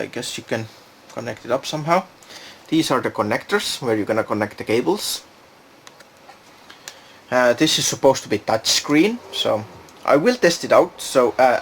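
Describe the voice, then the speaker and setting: A man's voice: medium pitch, a little hiss or hum. A man giving a casual, unscripted product demonstration, thinking aloud and hesitating as he goes. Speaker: product reviewer.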